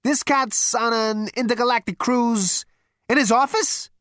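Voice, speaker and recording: A woman voicing a brash, swaggering man who demands things loudly. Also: a little high, fairly even intonation, unhurried, no room sound, clean studio sound, voice pushed up. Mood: cocky. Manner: loud, pushy, cocky. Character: brash, swaggering hipster male space adventurer.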